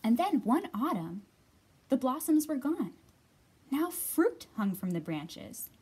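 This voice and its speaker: A woman's voice: high-pitched, very animated, slow pace, darkish tone, slightly rough. A woman in her thirties hosting a children's storytime, reading and talking expressively to young listeners as a librarian. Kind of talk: picture book read-aloud and storytime talk for young children.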